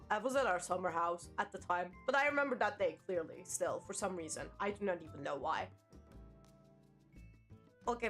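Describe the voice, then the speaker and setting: A woman's voice: mid-range voice, fairly bright tone. A woman in her thirties, a native Turkish speaker, chatting casually on a livestream in a rambling, self-mocking way and swearing now and then. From Turkey. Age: 30s. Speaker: VTuber streamer.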